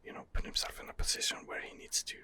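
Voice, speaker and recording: A man quietly narrating his role-play game in a breathy ASMR whisper, musing and rambling hesitantly, close to the microphone. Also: fast talker. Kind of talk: ASMR gaming commentary.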